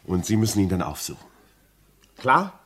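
An actor playing a rational, skeptical gentleman visitor in a 1950s radio drama, questioning and insistently reassuring in an emphatic manner. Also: lowish voice, sing-song, moderate pace, slightly rough, full character voice. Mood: insistent reassurance.

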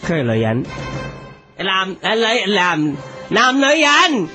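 A woman playing an impatient, clueless, excitable young school contestant in a comedy radio sketch, with a Hong Kong Cantonese accent. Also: on the low side, slow pace, heavily acted. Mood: impatient, excited. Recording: music behind the voice.

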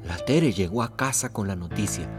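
A man's voice: fairly low pitch, normal speed, over music, lightly acted. An actor playing a loving elderly father in a radio drama, tender and reassuring. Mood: recounting. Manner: tender, expressive. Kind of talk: radio drama acting and narration.

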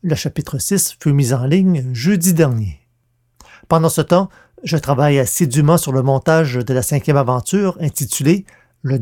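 A man's voice: fairly high pitch, a little mellow. A man hosting a podcast, reading scripted announcements with careful enunciation. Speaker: podcast host and audio drama producer.

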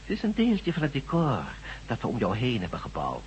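An actor in a radio drama playing a cold, condescending, menacing regime doctor who speaks deliberately. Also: male voice, mid-range voice, wildly swooping pitch, slight background noise.

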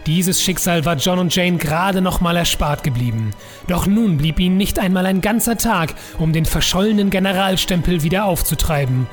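A male narrator with a notably high voice delivers scripted, dramatic storytelling. Music plays behind the voice.

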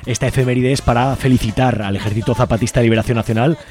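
A man's voice: mid-range voice, fairly even intonation, quick pace, a little mellow. A man hosting a radio show in a casual, chummy way. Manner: informal, chummy. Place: radio studio.

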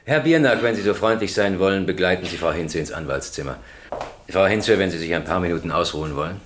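A man acting a formal, polite presiding judge who runs a trial in measured phrases, in a radio drama. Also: deep voice, animated, a little hiss or hum. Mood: courteous. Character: courteous, orderly male presiding judge running a trial.

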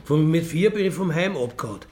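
A middle-aged or older man with a gravelly voice, telling personal stories casually and emphatically in colloquial speech, often checking for agreement. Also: fairly high pitch, lively intonation, a little fast, loud music behind the voice. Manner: colloquial, emphatic, seeks agreement with tag questions. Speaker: interviewee, career crook telling his life story.

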